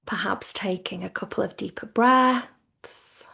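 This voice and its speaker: A woman leads a guided relaxation as a yoga teacher. Her delivery is very slow and soothing, with plenty of creak in the voice. She speaks in a hushed way, her phrases softening toward a whisper. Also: medium pitch.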